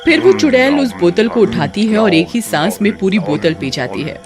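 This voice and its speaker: A woman's voice: mid-range voice, lively intonation, normal speed, over music. A woman narrator telling a scripted children's cartoon story.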